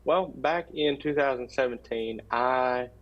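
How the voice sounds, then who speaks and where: A man's voice: medium pitch, a little slow, dull audio. A young man from Kentucky, a university student, answering interview questions earnestly with some hesitations. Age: young adult. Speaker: student guest.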